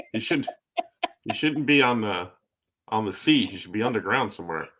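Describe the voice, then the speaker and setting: A man's voice: on the low side, expressive, unhurried, fairly bright tone. A man co-hosting a casual podcast, riffing with loose, jokey remarks and many filler words. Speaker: podcast co-host.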